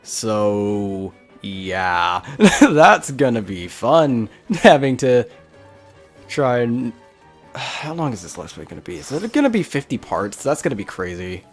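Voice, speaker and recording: A man's voice: mid-range voice, big pitch swings, slow pace. A man doing casual Let's Play commentary, rambling and thinking aloud as he plays. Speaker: gaming video host. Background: faint game music.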